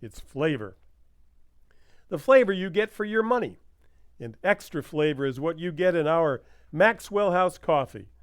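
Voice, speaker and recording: Man as clear, high-voiced old-time radio pitchman. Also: animated, unhurried, no room sound, clean studio sound. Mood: earnest selling. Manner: emphatic salesman's patter. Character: earnest old-time radio sponsor pitchman, smoothly persuasive.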